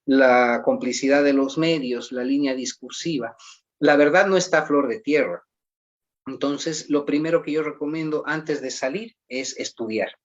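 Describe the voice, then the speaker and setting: A man answering interview questions at length in a measured, formal way, often pausing with "eh". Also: mid-range voice. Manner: measured, formal, frequent "eh" pauses. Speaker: guest. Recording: dull lo-fi audio.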